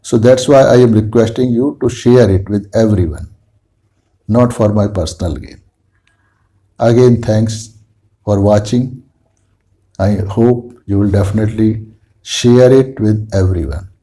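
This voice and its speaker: A man with an Indian accent, a native Hindi speaker, giving an earnest lecture on homeopathy to camera, slow and halting with restarts. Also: lowish voice, mellow. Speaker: homeopathy teacher.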